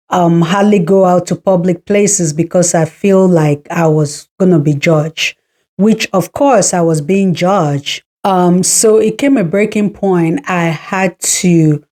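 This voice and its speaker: Podcast host, woman in her forties from Cameroon; slow, warm, reflective storytelling. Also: lowish voice. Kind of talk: personal storytelling monologue.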